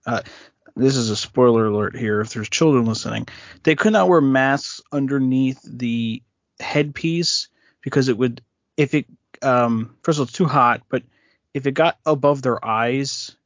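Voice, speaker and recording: A woman's voice: very deep voice, measured pace, no room sound, highs cut off. A woman co-hosting a casual podcast, hesitant, often searching for words. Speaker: podcast co-host. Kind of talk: casual chat.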